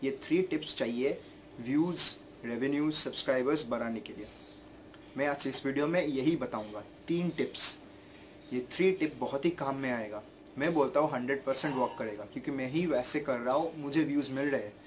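A man's voice: mid-range voice, brisk, slight background noise. A young man with a sore throat and a repetitive, earnest manner, giving tips to camera from his bedroom in a casual vlog. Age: young adult. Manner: earnest, repetitive, gestures a lot with his hands. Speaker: YouTuber giving channel-growth tips. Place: bedroom at home, indoors. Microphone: camera in front at desk distance.

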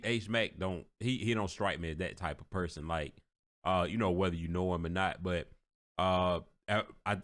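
A man hosting a reaction video, talking casually and rambling a little as he praises what he watched. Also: low voice. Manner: relaxed, rambling, repeats himself, admiring. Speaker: reaction channel host.

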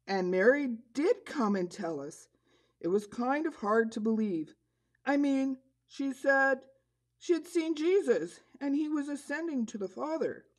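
A woman voicing an earnest disciple puppet, telling a story to children in a deliberate manner. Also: high-pitched, expressive, rough recording. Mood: earnest awe. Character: earnest disciple puppet recounting Jesus' return.

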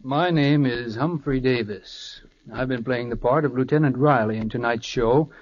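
A man's voice: medium pitch, moderate pace. A man, an actor, speaking as himself in an earnest, persuasive scripted radio appeal. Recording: dull 1940s radio recording.

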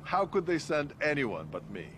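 A man's voice: a little high, very animated, moderate pace, noisy background. A man playing a self-important middle-aged Soviet officer in a game cutscene, formal and dry, with music behind. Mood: smug, composed.

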